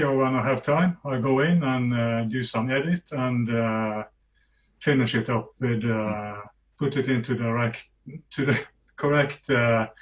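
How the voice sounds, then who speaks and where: Norwegian guy being interviewed, mid pitch, accented, stops and hesitates a lot. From Norway. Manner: hesitant, halting, frequent pauses. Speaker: interviewee, app user. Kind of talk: interview answer.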